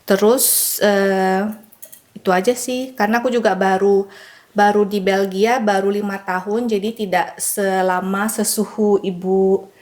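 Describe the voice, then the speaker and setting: A woman's voice: mid-range voice, fairly even intonation, unhurried, a little mellow. An Indonesian woman co-hosting a podcast, introducing herself in casual chat. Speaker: podcast co-host.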